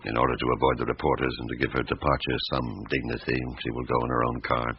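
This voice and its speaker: Very deep, gravelly police inspector. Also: male voice, little pitch movement. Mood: grave, firm. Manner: measured, authoritative questioning. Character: stern, probing French police chief inspector, methodical man. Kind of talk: radio drama acting. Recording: muffled old radio recording, background hiss.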